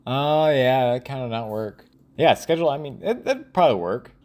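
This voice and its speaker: A man thinking aloud in a rambling, casual audio log, halting and often trailing off mid-thought. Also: medium pitch, animated, darkish tone. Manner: casual, profane.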